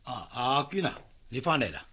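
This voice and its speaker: A man's voice: mid-range voice, sing-song, normal speed, sharp tone, slight background noise. An actor playing a kindly, fussy older male servant in a Hong Kong Cantonese radio drama, chatty and coaxing. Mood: concerned.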